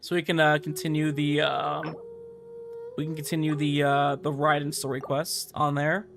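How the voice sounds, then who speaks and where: A young man livestreaming a game, chatting casually in a rambling, halting way with animated emphasis. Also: a little high, vocal fry, slight background noise. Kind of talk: casual livestream chat over gameplay.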